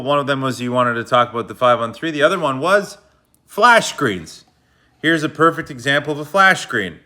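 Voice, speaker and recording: Guy walking thru hockey clips, loose and slangy, coach vibes, bright forceful voice. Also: a little high. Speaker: hockey video analyst. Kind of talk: casual play-by-play breakdown of game footage.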